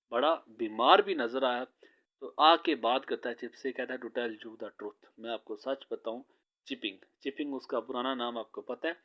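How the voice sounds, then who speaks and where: Male English teacher, Pakistani accent, mid pitch, patient lecture delivery, lo-fi audio. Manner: reads English lines slowly, then explains at length in Urdu-flavored Hindi. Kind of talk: line-by-line novel translation lesson. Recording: slightly dull lo-fi sound.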